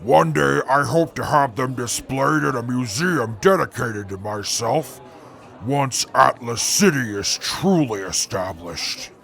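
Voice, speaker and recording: A pompous super mutant brute rumbles through his boasts with a heavily gravelly, fry-laden voice, as a man acts him out. He speaks slowly and grandly, like a self-important giant in a radio play. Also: animated, a bit bright, exaggerated voice. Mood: boastful. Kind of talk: fan audio drama.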